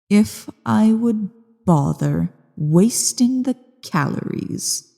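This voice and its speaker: An ancient female dragon, played by a woman for a fantasy ASMR roleplay, speaks in a low, very dark voice with imperious menace. Her words come slowly and deliberately, and the sound hangs in a long cavernous reverb. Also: creaky, husky, full character voice. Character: ancient evil female dragon, haughty, menacing, newly woken.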